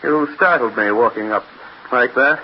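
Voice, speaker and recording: An actor playing an irritable, selfish middle-aged scientist husband, snapping and dismissive, in a 1930s radio horror play. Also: male voice, mid-range voice, normal speed, muffled recording, lightly acted. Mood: irritated. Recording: hissy, band-limited old radio recording.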